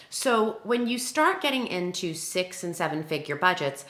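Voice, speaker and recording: Bright-voiced woman in an echoey room. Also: fairly low pitch. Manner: emphatic, self-questioning, talks through examples aloud. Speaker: wedding planner presenting a tutorial. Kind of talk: instructional screen-share walkthrough, conversational.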